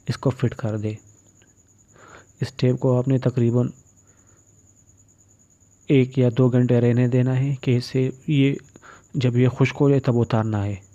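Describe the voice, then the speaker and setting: A man's voice: medium pitch, mellow. A man giving step-by-step repair instructions as a tutorial voice-over, in a plain, matter-of-fact way. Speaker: phone repair tutorial presenter. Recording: dull, muffled lo-fi recording.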